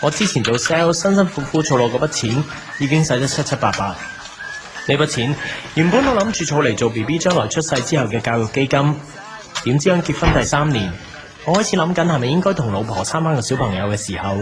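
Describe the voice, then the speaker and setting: A man acts out a struggling café owner in an audio drama, looking back with wistful regret. He has a Hong Kong Cantonese accent and a mid-range voice. His telling is reflective and melancholy, set over clearly heard music. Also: mid-range voice, expressive, quick pace, close to an everyday voice. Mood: weary, regretful. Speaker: lead actor and narrator. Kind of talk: scripted audio drama narration. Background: music behind the voice.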